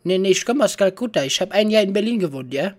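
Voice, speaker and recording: A woman's voice: mid-range voice, lively intonation, fast talker, a little mellow. A young woman streamer prank-calling strangers, talking in put-on broken German with a mock foreign accent and tagging "ja?" onto her lines, into a desk mic at home. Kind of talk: prank chat calls. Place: small room at home with coloured lights. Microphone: studio mic and headset at a desk.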